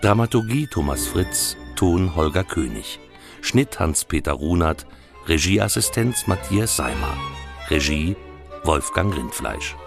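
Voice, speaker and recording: A man's voice: deep voice, big pitch swings, unhurried, creaky. A man reading scripted closing credits as a radio announcer. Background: violin music.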